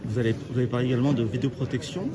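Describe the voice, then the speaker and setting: A man's voice: mid-range voice, little pitch movement, very fast. A man working as a reporter, putting interview questions on site. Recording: loud crowd chatter around the voice. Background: busy crowd chatter.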